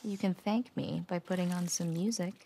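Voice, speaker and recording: A woman's voice: fairly low pitch, fairly even intonation, normal speed, gravelly, mellow, a little hiss or hum. A woman playing a playful, affectionate young woman in a game cutscene, casual and teasing. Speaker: video game character. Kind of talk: naturalistic game cutscene acting.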